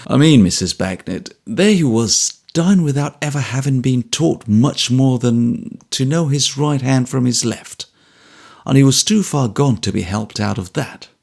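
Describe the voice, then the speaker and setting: A man's voice: medium pitch, unhurried, creaky, a little mellow. A man playing a kindly, troubled former trooper who talks earnestly and a little apologetically. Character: troubled ex-soldier, big, gruff and kindly.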